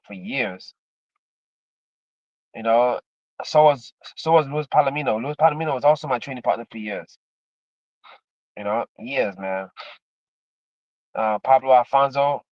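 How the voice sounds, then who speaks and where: A man's voice: mid-range voice, dry recording, muffled recording. A man in his fifties from Miami, chatting casually to his livestream audience in a rambling, repetitive way, full of "man" and "you know". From Miami, Florida, USA. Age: 50s. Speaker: livestreamer, former MMA fighter.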